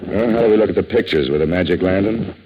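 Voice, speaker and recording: An actor playing a tough, wry, wisecracking insurance investigator and narrator in a 1950s radio detective drama. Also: male voice, deep voice, fast talker, noisy background, natural voice. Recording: muffled old radio recording, loud music behind.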